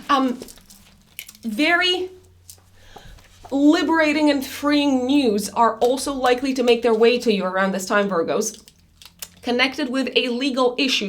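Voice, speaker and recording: A woman reading a monthly horoscope in a chatty, loose way, her voice raspy after an illness. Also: a little high. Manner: chatty, loose, with many fillers and restarts. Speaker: astrologer.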